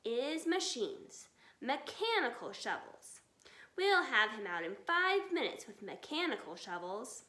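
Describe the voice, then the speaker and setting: A woman's voice: fairly high pitch, very animated, a little slow, pushed and bright, reverberant, heavily acted. A woman voicing a mean, bossy male farmer in a storybook, giving commands. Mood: scheming.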